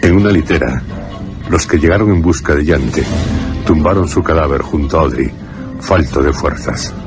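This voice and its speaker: A man's voice: extremely low pitch, normal speed. A man narrating a suspense and horror radio drama in a scripted, dramatic style. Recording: radio drama with loud music behind. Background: suspense music.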